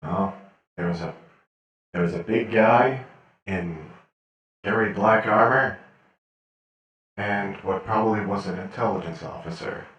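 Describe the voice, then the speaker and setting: A man playing a menacing captured cyborg assassin in a sci-fi game role-play, terse, cold and deadpan. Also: fairly low pitch, a little slow, long pauses, heavy reverb, recorded from a distance, highs cut off. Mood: terse, guarded.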